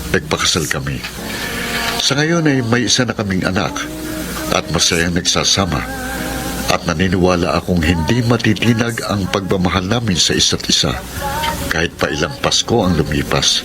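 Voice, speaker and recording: Deep-voiced Filipino lovestruck young man. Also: lively intonation, normal speed. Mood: sincere, grateful. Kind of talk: Tagalog radio romance drama acting and letter narration. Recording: loud background music over voice.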